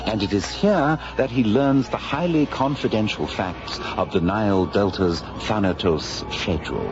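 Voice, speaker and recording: An actor playing a shrewd detective in a radio drama, deliberate and grandly deductive in manner. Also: male voice, on the low side, natural voice. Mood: triumphant, deducing. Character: shrewd, theatrical male detective lecturing his colleague. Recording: dull radio recording, music behind.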